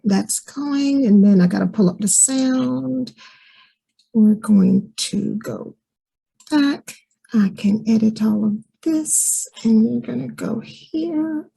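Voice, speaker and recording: Female director, casual and unhurried, husky, creaky, mellow delivery. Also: on the high side, low-quality recording. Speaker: director editing a radio play recording. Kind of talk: casual working instructions.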